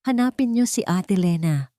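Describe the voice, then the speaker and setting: A woman's voice: lowish voice, lively intonation, normal speed, dark tone, dry recording, lightly acted. Frightened young boy, acted by a woman narrator, Filipino accent, urgent pleading, audio story, dry recording.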